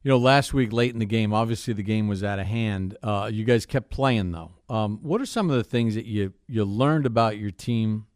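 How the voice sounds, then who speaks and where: Man, sports host, smooth resonant voice, fairly low pitch, interview questions, dry studio-like sound. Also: normal speed, hardly any pauses, no room sound.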